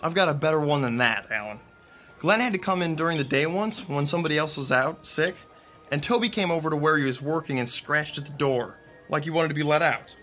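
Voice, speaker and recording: A man's voice: fairly high pitch, fairly quick, bright, forceful tone, soft background music. A man playing a joking, friendly theater crew member who tells funny stories about coworkers. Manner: teasing storyteller. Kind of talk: radio drama acting.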